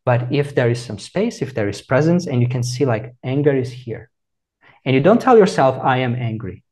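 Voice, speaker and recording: A man, answering in a measured, reflective, teacherly way over a video call. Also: medium pitch, very animated, a little mellow. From Brazil. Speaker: meditation teacher, guest. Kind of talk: calm explanatory interview answers.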